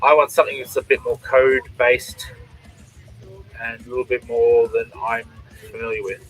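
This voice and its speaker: A man's voice: medium pitch, flat delivery, normal speed, a bit bright, soft background music, low-quality recording. A man with an Australian accent hosting a drawing stream, chatting casually and reading out viewers' comments. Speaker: comic artist and stream host.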